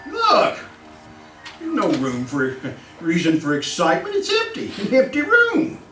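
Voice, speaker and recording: Gloating, wildly swooping mad killer. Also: male voice, high-pitched, wildly swooping pitch, heavily acted. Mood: gleeful, boastful. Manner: chuckling, halting, gleefully sinister delivery. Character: gloating mad male serial killer, boastful and cunning. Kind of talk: old-time radio horror acting. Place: live theatre stage performance. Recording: echoey live stage recording, distant mic, music.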